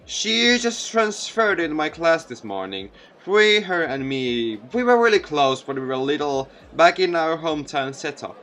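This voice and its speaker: A man voicing an earnest, easily flustered teenage schoolboy, the protagonist of a visual novel, in a halting manner. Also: a little high, expressive, fairly bright tone. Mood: earnest, exasperated.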